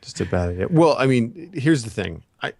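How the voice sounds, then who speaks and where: A man's voice: mid-range voice, sing-song, moderate pace, vocal fry, darkish tone, no echo, studio quality. A man co-hosting a casual pop-culture chat, talking in a rambling, opinionated way. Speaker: podcast co-host.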